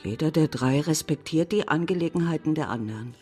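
A woman's voice: low-pitched, animated, a little fast, darkish tone, soft background music. An actress playing an older widowed woman who gives frank, matter-of-fact answers in a radio drama. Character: older widowed woman, loyal and plain-spoken. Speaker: witness. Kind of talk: German radio play acting.

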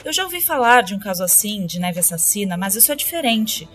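A woman's voice: medium pitch, big pitch swings, fast talker, a bit bright, soft background music. A woman playing a preoccupied, kindly adventuring queen in an audio drama, speaking in a conversational, pondering way. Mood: puzzled.

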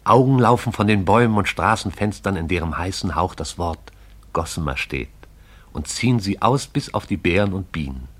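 An actor as the narrator of a poetic radio drama, telling it in a lyrical, wry storytelling manner. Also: male voice, low voice, lively intonation, normal speed, a bit bright, husky, exaggerated voice.

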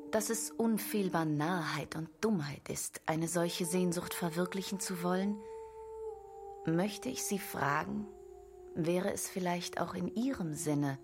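Secretive female admirer, lowish voice, ardent, florid formal phrasing, radio play, humming background noise. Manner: formal, florid, theatrical letter-reading. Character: mysterious ardent woman admirer writing to a novelist. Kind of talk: radio drama acting.

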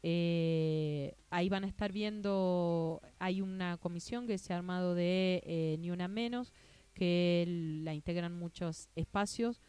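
Radio host, woman, Argentine Spanish accent, low smooth voice, halting casual delivery. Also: fairly even intonation, warm tone, dry recording. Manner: hesitant, many fillers and restarts. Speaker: radio news show host. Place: radio studio.

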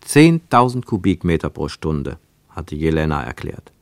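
A man narrating a literary radio play as a scripted storyteller, measured and expressive, with deliberate pauses. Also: rumbling low, creaky, dry recording.

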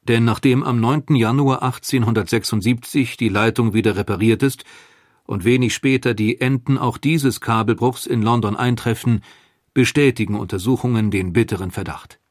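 Male narrator, sober, clear, middle pitch, dry studio. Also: moderate pace, no room sound. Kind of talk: scripted documentary narration.

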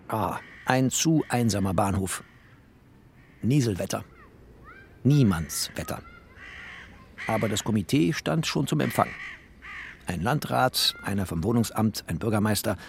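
A man's voice: fairly low pitch, animated, a little slow, gravelly, pauses often, a little hiss or hum. A man narrating a literary audio drama in a wry, descriptive way.

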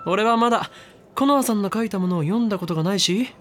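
A man's voice: high-pitched, quick pace, fairly bright tone, soft background music. A man playing a confident, pushy younger teenage boy with casual slangy speech in a Japanese anime audio drama. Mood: breezy.

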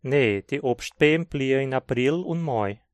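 Male course reader, Hunsrik-accented German, unhurried and clear, dry studio sound. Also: medium pitch, expressive, dry recording. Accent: Brazilian Hunsrik German. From southern Brazil. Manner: slow, clear teaching delivery. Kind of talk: scripted textbook dialogue.

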